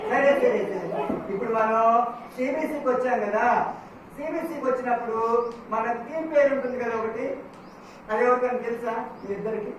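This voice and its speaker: A host talking playfully with puppets, asking questions and gently admonishing them. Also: medium pitch, moderate pace, long pauses. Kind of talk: playful scripted dialogue with puppets. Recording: very echoey, distant mic, noisy room.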